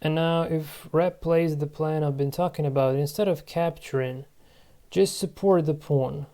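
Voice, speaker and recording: A man in his thirties giving casual commentary while playing a board game online, musing aloud to himself in a halting way. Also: a little high, steady tone, mellow. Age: 30s. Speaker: channel host playing online board games.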